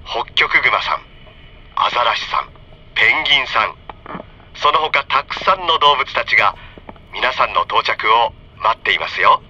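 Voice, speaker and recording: A man reading a scripted onboard train announcement for children in friendly, polite, clearly enunciated phrases, heard over a train PA. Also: medium pitch, animated, moderate pace, sharp tone. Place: train car, over the PA system. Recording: dull train PA with faint noise.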